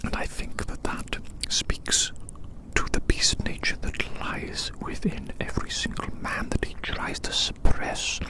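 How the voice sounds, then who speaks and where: An ASMR performer delivering a roleplay monologue in a breathy, unvoiced whisper, musing deliberately and repeating phrases. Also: rough recording.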